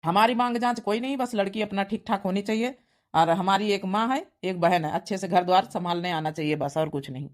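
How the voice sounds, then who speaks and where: A woman's voice: mid-range voice, a little fast, lightly acted. A woman voicing a practical village uncle playing matchmaker in a cartoon comedy, plain-spoken and reassuring, with an Awadhi accent. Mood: friendly, reassuring. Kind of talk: cartoon comedy dialect acting.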